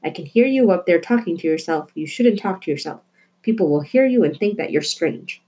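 A woman's voice: lowish voice, expressive, brisk, lightly acted, low-quality recording. Woman voicing a bossy, exasperated storybook mother. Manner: calling out loudly, scolding. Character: exasperated, bossy mother calling her daughter from downstairs. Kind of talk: storybook character voice.

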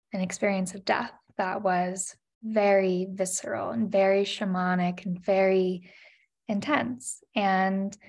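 A woman's voice: mid-range voice, darkish tone. A woman in her thirties, a podcast guest, sharing a personal story in an earnest, reflective way, with many "you know" and "like" fillers.

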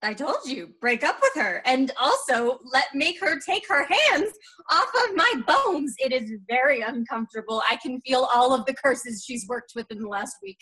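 A woman's voice: high voice, lively intonation, normal speed, sharp tone, breathy edge, full character voice, low-quality recording. A woman voicing the stern, blunt ghost of an old great-great-grandmother in an improvised audio comedy. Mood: annoyed. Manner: scolding, blunt.

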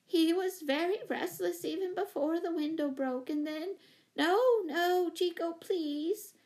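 Woman playing a high-pitched, fluttery anxious spinster. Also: lively intonation, normal speed, dark tone. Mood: distressed, apologetic. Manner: gushing, flustered, distracted. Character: fluttery, anxious, apologetic older spinster neighbour with pet monkey. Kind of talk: children's storybook character voice.

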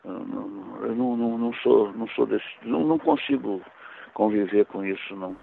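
Gravelly man on tinny radio. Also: on the low side, very animated, brisk, slight background noise. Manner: rambling, restarts often, swears casually. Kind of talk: casual storytelling chat.

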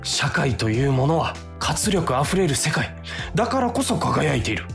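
A man's voice: a little high, big pitch swings, normal speed, gravelly, soft background music. A man playing an earnest, flustered office worker who sees himself as a hero, acting and narrating a radio drama. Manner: dramatic inner monologue, earnest.

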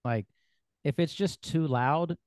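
A man hosting a podcast, talking in a relaxed, rambling way with frequent fillers. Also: a little high, takes it slowly, no room sound, studio quality. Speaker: podcast host. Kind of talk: casual podcast conversation.

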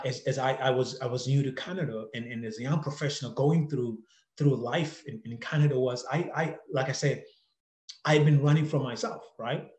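A man's voice: on the high side, echoey room. A man from Zimbabwe hosting a podcast, telling his own story earnestly, with many repeated words and restarts. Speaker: podcast host. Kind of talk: personal storytelling monologue.

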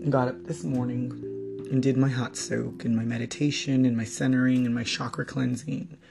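A man hosting a reflective spiritual podcast, his voice hoarse and weakened, talking in a slow, wandering way. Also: mid-range voice, flat delivery, music in the background. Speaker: podcast host. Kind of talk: reflective solo podcast talk.

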